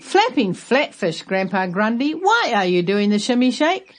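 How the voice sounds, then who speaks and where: Nosy neighbour woman for a storybook, exclamatory, highly animated pitch acted by a female reader. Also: a little slow. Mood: astonished.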